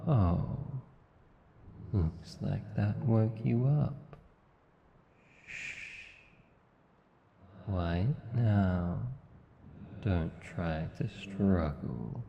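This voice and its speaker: Deep gravelly Australian demon, acted by a man. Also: animated, drawn-out words, pauses often, exaggerated voice. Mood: taunting. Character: male sleep paralysis demon, menacing yet awkwardly tender. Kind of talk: intimate ASMR roleplay acting. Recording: slightly dull, long reverb.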